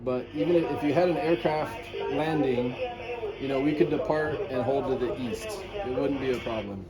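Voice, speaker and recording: A man's voice: medium pitch, normal speed, a loud crowd, rough recording. A man, a helicopter pilot, explaining an idea in a casual discussion. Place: airport control tower. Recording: loud background noise on location.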